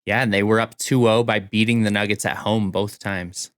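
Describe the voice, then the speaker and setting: A man's voice: on the low side, steady tone, normal speed, clean tone, no echo. A man co-hosting a podcast in casual conversation, recorded close on the microphone. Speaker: podcast co-host. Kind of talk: casual chat.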